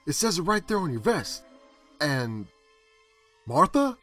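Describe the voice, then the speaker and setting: A man in a film fan dub playing an anxious teenage boy in a hero's body, explaining haltingly. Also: fairly high pitch, big pitch swings, fairly bright tone, soft background music. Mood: earnest, nervous. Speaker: amateur fan-dub voice actor.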